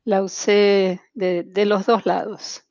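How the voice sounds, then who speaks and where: A woman's voice: medium pitch, fairly even intonation, slow pace, darkish tone, dry recording, lo-fi sound. A woman from Argentina with an Argentine accent, a guest in an interview, speaking with many fillers and restarts. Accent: Argentine Spanish. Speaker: guest, translation-tool specialist. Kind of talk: interview over video call.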